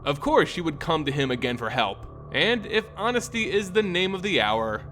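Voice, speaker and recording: Expressive male fantasy storyteller, lively pitch. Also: moderate pace. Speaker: lore storyteller and channel host. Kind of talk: dramatic scripted fantasy narration and commentary. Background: music.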